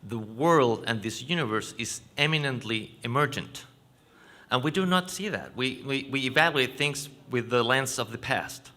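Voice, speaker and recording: A man with a Chilean Spanish accent giving a conference presentation, explaining in a halting way and correcting himself. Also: mid-range voice, animated, sharp tone. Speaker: panel speaker. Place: conference venue stage.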